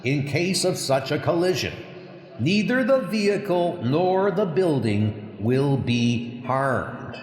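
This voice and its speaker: A man's voice: fairly high pitch, very animated, slow, echoey room, big-room sound, pitched above the performer's own voice. A man voicing a stern, officious futuristic patrol enforcer in a comic book dub, formal and commanding. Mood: stern, matter-of-fact. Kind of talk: comic book audio drama.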